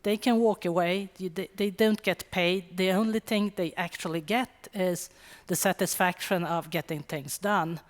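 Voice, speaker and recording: A middle-aged woman from Sweden with a Swedish accent, giving a wry, conversational conference talk with quick asides. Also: fairly low pitch, steady tone. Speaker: conference speaker. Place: conference hall. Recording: long hall reverb.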